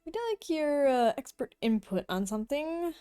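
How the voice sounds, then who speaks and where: A woman's voice: high-pitched, lively intonation, normal speed, dark tone, no room sound, soft background music. A woman game streamer giving casual reactions as she plays.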